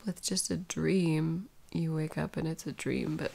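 A woman acting as a sick, sleepy girlfriend in an intimate audio roleplay. She talks very slowly and softly, with a crackly fry in her voice, halting and clingy as she seeks comfort. Some noise sits behind her voice. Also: sits low, fairly even intonation, full character voice. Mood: sleepy, musing. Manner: soft, drowsy, halting, often whispery.

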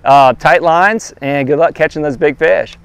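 A man in his thirties, a fishing guide, showing his gear outdoors by a lake and talking straight to the camera in a relaxed, practical way. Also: a little high, measured pace, gravelly, clean tone, no room sound. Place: outdoors by a lakeshore. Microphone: lapel mic.

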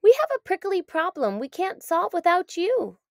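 A woman's voice: extremely high pitch, very animated, normal speed, gravelly, no room sound, studio quality, voice pushed up, full character voice. A woman voicing a friendly male rattlesnake in a children's storybook, speaking in an emphatic, earnest manner. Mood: earnest pleading. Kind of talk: children's storybook read-aloud.

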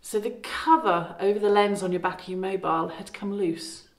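A woman's voice: mid-range voice, normal speed. A middle-aged woman chatting casually to her phone camera inside an old farmhouse, rambling and correcting herself as she goes. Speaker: vlogger renovating a farmhouse. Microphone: phone camera at arm's length. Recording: roomy echo.

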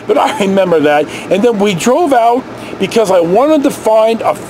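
A man's voice: sits high, animated, moderate pace, darkish tone, slight background noise, low-quality recording. A middle-aged man with an American accent, rambling through a casual personal story to camera. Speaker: storyteller vlogger. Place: inside a motorhome.

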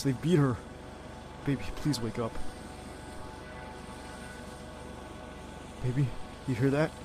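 A man's voice: medium pitch, darkish tone, close to an everyday voice. A man playing a young secret agent who leads his team and is a devoted boyfriend, in an audio roleplay drama. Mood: distraught, pleading. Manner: clipped military radio commands.

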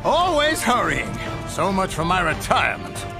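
A man's voice: sits high, wildly swooping pitch, normal speed, over music, full character voice. A man voicing an old retired male elephant uncle, fretful, tired and loyal. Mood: worried. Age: older.

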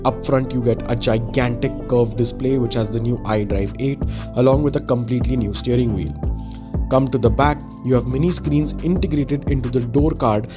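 A man with a middle-range voice reads a scripted voice-over in a steady, polished delivery. Clear music runs underneath. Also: mid-range voice, normal speed. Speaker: voice-over narrator.

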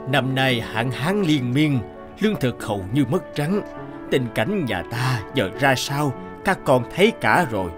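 An old peasant father, weary and caring, in a cartoon fairy tale. He speaks softly and slowly, earnest in manner, with music behind him. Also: mid-range voice, very animated. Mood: weary, resigned. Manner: slow, gentle, earnest.

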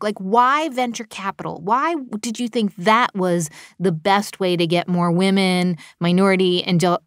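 A woman's voice: mid-range voice, a bit bright, dry recording, clean studio sound. A woman hosting a podcast interview, asking curious, probing questions. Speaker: podcast host.

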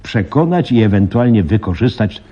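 An actor playing a flustered, grave veteran police commissioner in a radio play, husky and halting, repeating himself. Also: male voice, on the low side, lively intonation, warm tone. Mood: grave, intent. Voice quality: husky, breathy. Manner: halting, muttering, repeats himself, dramatic emphasis. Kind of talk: Polish radio play acting. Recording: slightly dull radio play recording.

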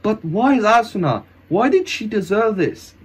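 A man playing an anguished, devoted young swordsman in an amateur anime fan drama, with melodramatic, halting delivery and stilted English over music. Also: medium pitch, slightly rough. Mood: enraged.

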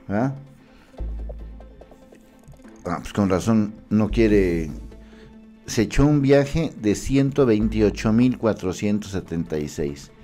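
Indignant Mexican man on a commentary show. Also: fairly low pitch. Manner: emphatic, indignant, animated hand gestures. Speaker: political commentator. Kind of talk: opinion commentary to camera. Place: small studio with city backdrop. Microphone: studio mic in frame.

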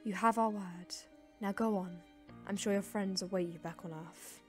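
A woman's voice: mid-range voice, steady tone, normal speed, darkish tone, faint music behind, close to an everyday voice. A woman voicing a stern female alien ruler in a comic dub, speaking in a clipped, formal, authoritative way. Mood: restrained, formal. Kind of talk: animated comic dub acting.

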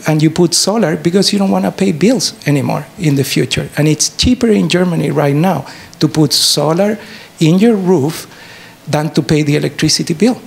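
Chilean-accented male academic, native Spanish speaker, heavy vocal fry, emphatic explainer in a noisy lecture theatre. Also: a little high. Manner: animated explaining, short emphatic statements, rhetorical questions. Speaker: professor giving a talk. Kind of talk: answering an audience question at a public lecture. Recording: noisy lecture hall recording.

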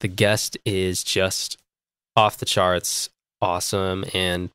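A male podcast host with a congested, stuffy voice, joking and rambling through casual chat. His voice sits on the low side. The sound is dry and close. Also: level tone, slow, sharp tone, clear, resonant tone, no room sound. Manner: joking, rambling, stumbling over words. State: congested from a cold.

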